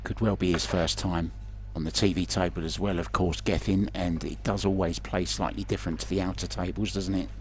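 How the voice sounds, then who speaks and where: A man's voice: low voice, fairly even intonation, fairly quick, few pauses. A man commentating pool remotely, chatty and joking, asking his co-commentator questions. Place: remote booth.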